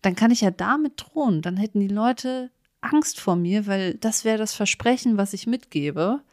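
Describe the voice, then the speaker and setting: A woman's voice: mid-range voice, expressive, moderate pace, no echo, clean studio sound. A woman co-hosting a casual podcast, talking informally and thinking aloud in loosely built sentences. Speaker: podcast co-host.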